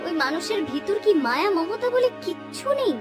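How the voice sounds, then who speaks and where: High-pitched kind aunt, motherly, protective, fussing, cartoon, music behind. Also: sing-song, fairly quick. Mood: indignant. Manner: fussing, affectionate scolding. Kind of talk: Bengali moral-story cartoon voice acting.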